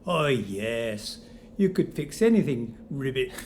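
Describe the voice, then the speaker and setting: A man's voice: medium pitch, very animated, slow, darkish tone. Man playing a dry, joking inventor ghost. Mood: playful. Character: flippant, rueful male ghost, a failed inventor. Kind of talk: audio drama acting. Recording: faint noise behind.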